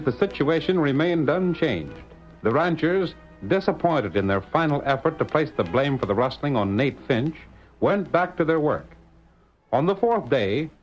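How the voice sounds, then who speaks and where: A man giving scripted narration for an old-time radio drama. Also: mid-range voice. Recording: dull vintage radio recording with music.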